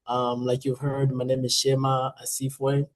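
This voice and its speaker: Teenage boy with a flat voice. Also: mid-range voice, unhurried, no echo. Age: teens. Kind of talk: casual self-introduction. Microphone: video call.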